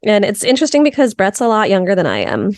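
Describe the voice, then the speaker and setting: A woman in her thirties, a comedian guest, chatting casually and upbeat in quick bursts. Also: mid-range voice, wildly swooping pitch, a little mellow, no echo, clean studio sound. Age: 30s.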